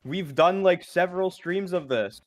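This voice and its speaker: A man's voice: sits high, moderate pace. A man co-commentating on a game stream in a casual, blunt and sweary way. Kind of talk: casual commentary, reads game text aloud. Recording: music playing behind the voice. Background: video game music.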